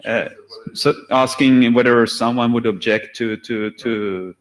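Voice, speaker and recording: A man discussing a draft at a technical meeting, halting and often repeating his words. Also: mid-range voice. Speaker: draft author presenting. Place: standards meeting room.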